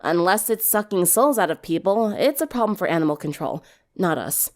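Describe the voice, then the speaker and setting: A woman's voice: on the low side, animated, moderate pace, close to an everyday voice. A woman voicing a blunt, practical teenage girl in a story reading, with a dry, no-nonsense manner. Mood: dry dismissal.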